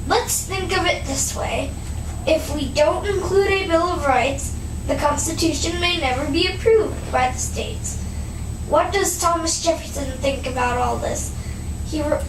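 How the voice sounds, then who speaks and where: A woman playing a measured, formal male convention president in a puppet show. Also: sits high. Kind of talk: scripted puppet show acting. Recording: distant roomy mic, clear background noise.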